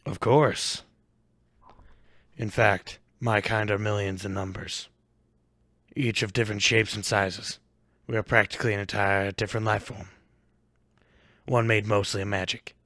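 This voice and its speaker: A man plays a towering old goat-lion creature from an audio fantasy tale. The voice is low and closely dry, measured and courteous, with a gentle, slightly unhinged formality. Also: no room sound. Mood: courteous, explaining. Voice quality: calm, measured.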